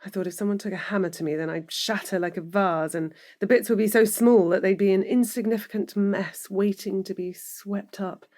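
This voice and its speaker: An actress playing an introspective, emotionally exposed middle-aged woman lawyer in an audio drama, speaking in a measured, earnest way. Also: mid-range voice, steady tone, a little mellow, natural voice. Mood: haunted, reflective.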